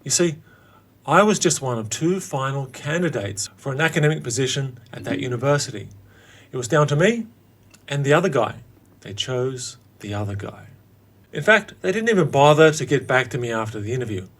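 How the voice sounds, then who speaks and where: A man in his late forties narrating his own story as a host, in a wry, theatrical way with dramatic pauses. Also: mid-range voice, animated. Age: late 40s. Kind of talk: scripted personal narration.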